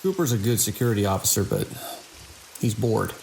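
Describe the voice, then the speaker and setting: An actor as a seasoned night-watch security chief, very low and full of gravel. He is wry and world-weary in this audio drama, measured but with lively inflection, over faint hiss. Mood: reflective. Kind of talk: audio drama dialogue and log monologue. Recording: faint hiss and rain-like noise.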